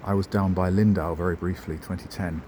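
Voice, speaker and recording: A man's voice: low voice, fairly even intonation, a little fast, darkish tone, slight background noise. Wry rambling middle-aged man. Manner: wry, digressive, self-mocking asides. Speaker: travel vlogger. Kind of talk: rambling walking-tour commentary. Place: outdoors in a town centre street.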